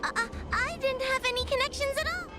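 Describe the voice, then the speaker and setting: A woman's voice: extremely high pitch, a little fast, over loud music. A woman playing a timid, anxious young girl spirit-hunter in a video game, with hesitant, stammering speech. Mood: nervous, hesitant. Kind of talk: video game character acting.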